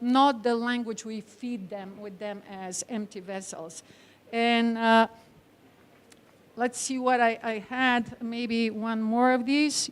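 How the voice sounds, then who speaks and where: A woman academic from Bulgaria lectures in a fairly high voice with a Bulgarian accent. She speaks as a non-native speaker of English, addressing her listeners directly and stressing her points. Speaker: academic speaker, teacher trainer. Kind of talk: conference lecture to an audience.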